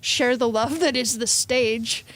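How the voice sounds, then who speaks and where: A woman's voice: a little high, a little slow, a bit bright, no room sound. A woman chatting casually as a guest, with an emphatic, opinionated way of talking. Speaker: improv performer, guest. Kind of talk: casual chat.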